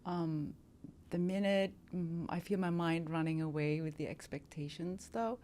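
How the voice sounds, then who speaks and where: A woman's voice: lowish voice, measured pace, warm tone, slight background noise. A woman in her fifties from Germany, a model talking as a podcast guest in a reflective, hesitant way with many fillers. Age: 50s. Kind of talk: casual interview talk.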